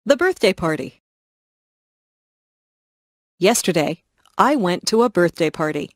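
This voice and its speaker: A woman slowly and clearly reading simple first-person stories for English learners. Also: fairly low pitch, very animated, dry recording, studio quality. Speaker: reader of English listening stories. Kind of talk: slow scripted reading for learners.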